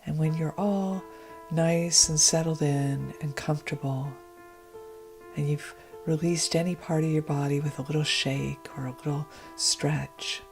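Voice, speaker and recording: A woman leading a guided meditation in a gentle, unhurried, breathy voice that often nears a whisper. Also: deep voice. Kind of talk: guided meditation, slow soothing narration. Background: meditation music.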